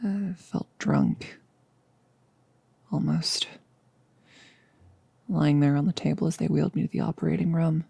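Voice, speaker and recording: A performer playing a nervous, joking girlfriend in an intimate ASMR audio roleplay, speaking haltingly and confessionally, with lapses into whispering. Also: mid-range voice, gravelly, warm tone, husky, no room sound, full character voice. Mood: uneasy, confiding. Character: nervous, joking girlfriend confiding before becoming a vampire.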